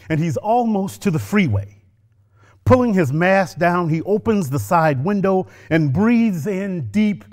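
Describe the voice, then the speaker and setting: A middle-aged man reading a short story aloud from a lectern on stage, with measured, expressive delivery. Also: mid-range voice. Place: stage with orange curtains. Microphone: lectern microphone.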